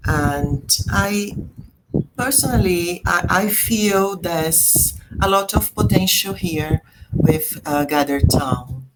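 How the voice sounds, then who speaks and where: Slow-talking woman. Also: on the low side, animated, heavy background noise. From Brazil, Rio de Janeiro. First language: Portuguese. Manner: slow, patient, laughs often. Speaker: language teacher, co-host. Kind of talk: casual discussion, teaching words.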